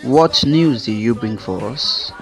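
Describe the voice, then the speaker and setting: An actor with a Nigerian accent playing a stern, commanding chief in a radio drama, authoritative and scolding. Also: male voice, mid-range voice, slow, soft background music, natural voice. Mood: questioning.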